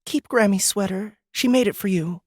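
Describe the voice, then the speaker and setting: A woman playing a gentle, sad young mother in an audiobook drama, talking tenderly and soothingly to her small child. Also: medium pitch, moderate pace, no echo, studio quality, close to an everyday voice.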